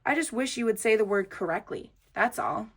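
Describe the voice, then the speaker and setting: A woman's voice: medium pitch, animated, a little fast, vocal fry, a little hiss or hum. A woman puts on a bossy little schoolgirl from a children's storybook. She is precise and emphatic, correcting as she talks. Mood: annoyed.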